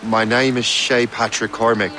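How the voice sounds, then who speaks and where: A man's voice: medium pitch, level tone, moderate pace, music in the background, natural voice. An actor playing an earnest young sea captain, a man, in a dramatic video game style. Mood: respectful, humble.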